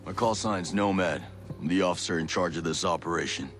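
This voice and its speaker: An actor playing a commanding special forces officer in a video game cutscene. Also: male voice, low voice, fairly quick, lightly acted. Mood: firm, challenging.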